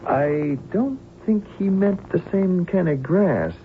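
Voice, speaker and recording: An actor playing a blunt, sardonic airline captain held hostage, in an old-time radio drama. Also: male voice, high-pitched, expressive, slow pace. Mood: incredulous, defiant. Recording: tinny, hissy old radio recording.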